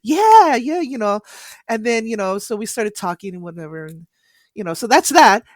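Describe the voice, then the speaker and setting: A woman's voice: a little high, very animated, moderate pace, no room sound. A woman from the United States co-hosting a podcast, telling a story animatedly with laughs and swearing. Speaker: podcast co-host. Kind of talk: casual anecdote telling.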